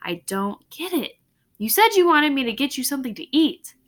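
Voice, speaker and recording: A woman's voice: sits high, very animated, normal speed, a bit bright, pitched above the performer's own voice. A woman reading the part of a patient, puzzled father who coaxes and questions his daughter in a storybook. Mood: baffled. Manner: questioning, coaxing.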